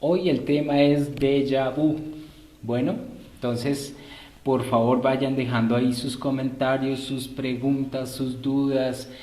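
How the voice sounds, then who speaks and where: Male live-stream host, unhurried and polite, improvising his announcements, recorded in a roomy, echoing space. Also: medium pitch, flat delivery, dark tone. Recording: roomy echo.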